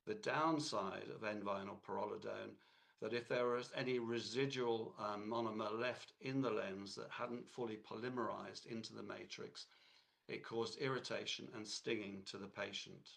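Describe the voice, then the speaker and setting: Hoarse, gravelly man lecturing. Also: lowish voice, fairly even intonation, fairly bright tone. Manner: halting, with many fillers and restarts. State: hoarse from a cold. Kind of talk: technical lecture.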